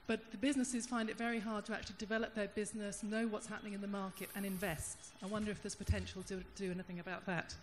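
A woman's voice: medium pitch, level tone. Woman in a conference audience, thoughtful and searching, handheld mic, roomy hall reverb with a faint hum. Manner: thoughtful, searching, with pauses and restarts. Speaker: audience member at a panel. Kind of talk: spontaneous audience comment. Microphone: handheld microphone.

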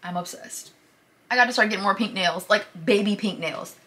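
A woman's voice: mid-range voice, brisk, bright, forceful tone, no room sound. A woman of twenty chatting to her phone camera from a parked car, gushing and casual, often saying y'all. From Michigan. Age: 20s. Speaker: vlogger.

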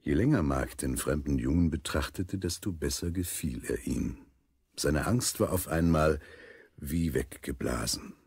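A man narrating a children's radio play as a grave, unhurried storyteller. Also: extremely low pitch, darkish tone, breathy edge, no echo, studio quality, exaggerated voice. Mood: suspenseful storytelling.

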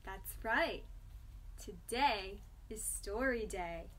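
A young woman teacher talking to small children in simple words, asking questions and pausing for their replies. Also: medium pitch, a bit bright. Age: young adult. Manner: simple child-directed talk with questions and pauses for response. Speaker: music teacher hosting children's storytime. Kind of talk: children's lesson, speaking to young kids.

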